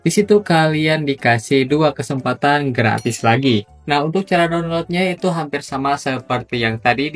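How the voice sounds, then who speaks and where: Guy hosting a how-to, casual narration, medium pitch, music in back. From Indonesia, Sunda region. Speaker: tutorial host. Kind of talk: casual scripted tutorial narration. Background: background music.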